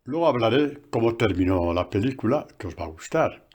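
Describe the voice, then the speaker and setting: Husky-voiced priest preaching casually. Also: male voice, medium pitch, a little fast. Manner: conversational, animated preaching with asides. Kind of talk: sermon catechesis. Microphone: phone.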